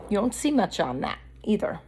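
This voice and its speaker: A woman's voice: mid-range voice, big pitch swings, brisk, warm tone, slightly rough. A woman vlogger talking straight to camera in a candid, blunt, rambling monologue, her voice a little worn from allergies.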